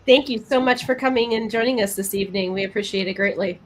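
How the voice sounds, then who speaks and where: A woman's voice: medium pitch, fast. A woman co-hosting a podcast, chatting casually and asking the guest questions. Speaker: podcast co-host. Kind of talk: casual interview chat. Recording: faint background noise.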